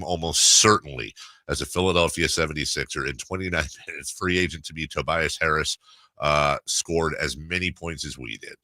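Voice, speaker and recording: A man's voice: rumbling low, pushed and bright, no room sound. A man hosting a casual sports radio talk show, speaking in a wry, joking way with stammering restarts.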